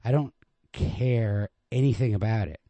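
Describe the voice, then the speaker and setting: A man's voice: on the low side, a little slow, warm tone, no echo, voice pushed up. A man playing an insistent, anxious young man who emphatically cajoles and placates his girlfriend in a story reading. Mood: pleading.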